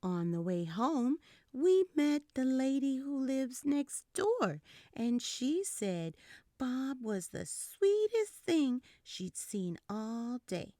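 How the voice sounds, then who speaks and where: A woman hosting children's storytime, reading a picture book aloud in an expressive, animated way with breathy whispered stretches. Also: high-pitched, slow, dry recording, studio quality.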